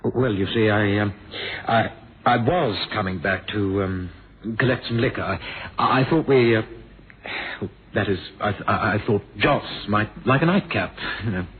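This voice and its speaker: An actor playing an evasive, sardonic young heir under suspicion in a radio mystery drama, speaking in a hesitant, clipped way. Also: male voice, on the low side, moderate pace, husky. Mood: flustered, evasive. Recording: noisy, phone-like old radio recording.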